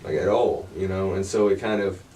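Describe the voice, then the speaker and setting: Low-voiced man hosting a relaxed chat. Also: fairly quick, distant mic. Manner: easygoing, swears casually, short affirmations. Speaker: interview host. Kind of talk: casual interview talk.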